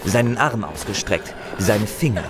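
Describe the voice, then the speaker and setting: A man's voice: lowish voice, expressive, normal speed, music in the background. A man narrating a fantasy audio drama as a dramatic scripted storyteller.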